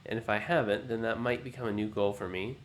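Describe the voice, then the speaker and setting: A man hosting a let's play, thinking aloud in an unhurried, musing way, his voice low and groggy from just waking up. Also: steady tone, slight background noise. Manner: musing, unhurried, self-talking. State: low morning voice, just woken up. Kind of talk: thinking-aloud gameplay commentary.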